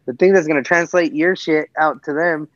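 Middle-aged podcast guy, laid-back cussing banter, kinda high voice, muffled dry recording. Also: fairly quick, a bit bright, no room sound. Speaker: podcast co-host. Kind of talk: casual chat. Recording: dull, dry lo-fi sound.

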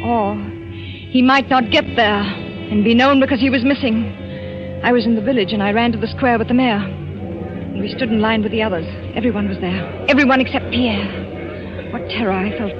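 Actress as fearful wife in muffled old radio thriller. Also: female voice, fairly high pitch, lightly acted. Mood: grim dread. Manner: breathless, dramatic, halting. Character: anxious, fearful French wife confessing to a colonel. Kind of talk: 1940s radio thriller acting. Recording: muffled vintage radio recording with music.

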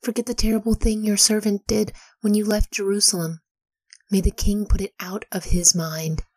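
A woman's voice: medium pitch, flat delivery, normal speed, dry recording, close to an everyday voice. A repentant begging man, whispered by a woman. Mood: pleading. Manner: soft whisper.

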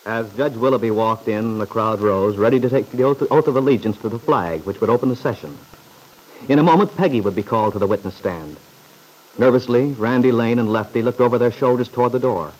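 A man's voice: mid-range voice, brisk, a little mellow, low-quality recording. Male old-time radio serial narrator.

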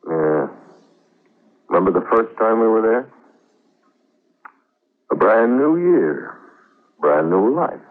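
An actor playing a weary, calculating husband in an old-time radio drama. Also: male voice, lowish voice, very animated, takes it slowly, pauses often. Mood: wry. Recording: muffled, narrow-band vintage radio recording.

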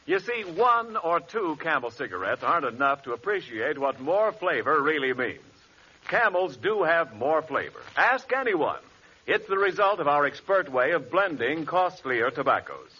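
Bright, animated 1940s radio announcer man. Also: fairly high pitch, breathy edge. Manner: emphatic, polished salesman delivery. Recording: muffled 1940s radio recording.

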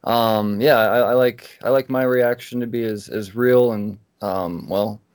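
A man's voice: lowish voice, fairly even intonation, a little mellow, smooth, no room sound, clean studio sound. A man hosting a podcast interview, talking casually with frequent restarts and an upbeat tone. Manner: halting, restarts often, upbeat. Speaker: podcast host.

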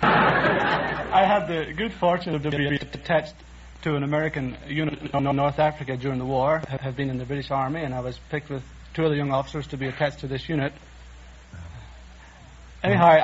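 A man's voice: fairly high pitch, breathy edge. A man from Ireland with a Donegal accent, answering as a contestant on a 1950s radio quiz show. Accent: Irish, County Donegal. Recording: dull 1950s radio recording, faint noise.

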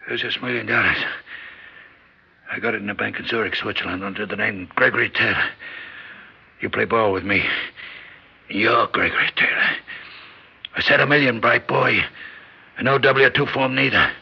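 An actor in a classic radio drama playing a dying old American gangster boss, menacing and blustering, with a gravelly, husky voice and curt, bullying street talk. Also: male voice, fairly low pitch, moderate pace, long pauses, exaggerated voice. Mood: insistent. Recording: dull lo-fi radio drama recording.

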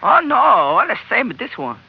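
Sly islander trader, gleeful pidgin, husky voice, swooping pitch, old radio drama. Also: male voice, sing-song, moderate pace, exaggerated voice. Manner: pidgin speech, gleeful, coy. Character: cheerful sly islander trader feigning simplicity. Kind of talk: old-time radio acting. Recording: dull, lo-fi old radio recording.

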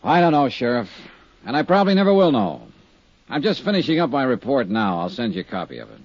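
Hardboiled american radio detective, tired and dry, really animated pitch, dull old 50s broadcast sound. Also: male voice, quick pace, husky, no room sound. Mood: weary. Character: hardboiled, world-weary male insurance investigator. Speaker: radio drama lead and narrator. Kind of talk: 1950s radio drama acting. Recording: muffled vintage 1950s radio recording.

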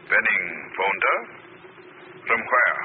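An actor playing a wary crook on the telephone, halting and guarded, in an old radio thriller. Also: male voice, fairly low pitch. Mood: guarded, uneasy. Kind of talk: 1940s radio thriller acting. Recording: tinny phone sound, very noisy old recording.

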